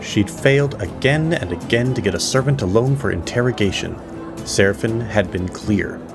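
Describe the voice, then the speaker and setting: Low-voiced male storyteller over music. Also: moderate pace. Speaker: storyteller, narrator. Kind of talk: dramatic scripted narration.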